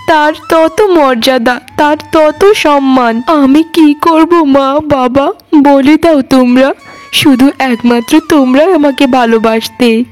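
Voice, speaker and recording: A woman voicing a meek, sorrowful orphan girl in a cartoon, lonely and pleading, with music underneath. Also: high-pitched. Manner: plaintive, pleading. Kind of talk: animated cartoon drama voice.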